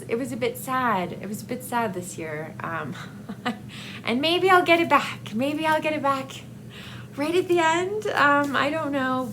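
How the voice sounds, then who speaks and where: A woman chatting casually about books as a vlogger, in a hesitant, rambling, halting way. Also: on the high side, background noise. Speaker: book vlogger. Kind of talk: casual book review chat to camera.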